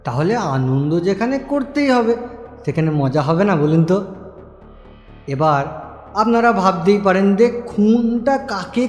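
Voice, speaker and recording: A man's voice: high voice, a little mellow. A man playing an unhinged, rambling middle-class narrator in an audio story who gleefully plots murder and keeps interrupting himself to address listeners. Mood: musing, darkly amused. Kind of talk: dramatic first-person audio story monologue. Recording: echoing reverb over music bed.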